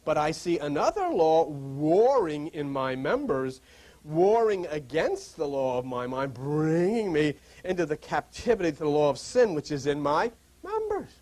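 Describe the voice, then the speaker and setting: A man's voice: on the high side, very animated, a little slow, a little mellow. A male pastor giving an informal sermon, reading scripture and pressing listeners with rhetorical questions.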